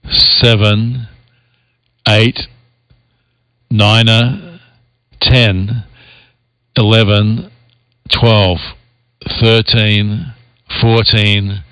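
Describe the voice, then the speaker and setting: A man's voice: fairly low pitch, pushed and bright, clear, resonant tone, no room sound. A man working as an amateur radio operator, talking steadily and counting out numbers for a signal check. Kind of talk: on-air radio contact chat.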